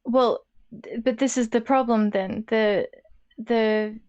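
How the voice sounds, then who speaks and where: A woman co-hosting an intellectual discussion, speaking deliberately in short, broken phrases. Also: on the high side, steady tone, darkish tone, dull audio. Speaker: co-host of a discussion stream.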